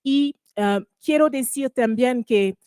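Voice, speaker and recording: A woman whose first language is French, moderating a panel in a second language, halting and searching for words with many fillers. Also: on the high side, darkish tone, no echo, studio quality. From Caribbean. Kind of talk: hesitant formal panel moderation.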